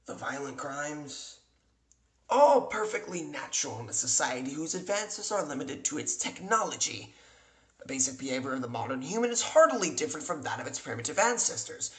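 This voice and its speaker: Brooding homicidal maniac, volatile, swooping melodramatic delivery, roomy sound, comic dub. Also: male voice, a little high, big pitch swings, a little fast. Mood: brooding, lecturing. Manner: theatrical, erratic, swinging from hushed to shrieking.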